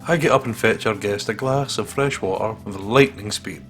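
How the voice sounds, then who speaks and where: A man's voice: fairly low pitch, normal speed, faint music behind. A man co-hosting a let's play, casually reading game text aloud and chatting in a jokey way.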